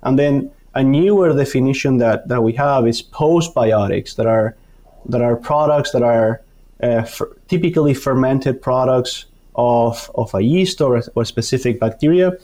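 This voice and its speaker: A man from Argentina with a Spanish-speaker's Argentine accent talks as an expert guest. His pitch sits in the middle, and he explains in a halting way, often repeating small words as he builds each point. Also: mellow. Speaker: guest, university dairy researcher. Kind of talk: explanatory interview talk.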